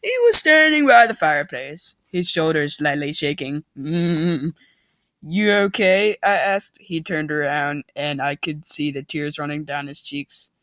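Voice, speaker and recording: A man's voice: fairly high pitch, expressive, moderate pace, few pauses, pushed and bright, no echo. A man giving a mock dramatic reading of amateur fiction, goofy and theatrical, often breaking off to comment. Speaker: reader and commentator.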